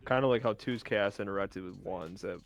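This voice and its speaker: Hesitant man with a low voice. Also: a little fast, soft background music. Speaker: round table panelist. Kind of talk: casual chat about video games.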